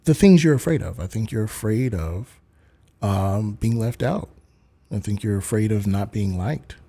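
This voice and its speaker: Man, low dark husky voice, patient questioning, casual voice chat. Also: unhurried. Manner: patient, probing, calm questioning. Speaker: streamer talking to a kid online.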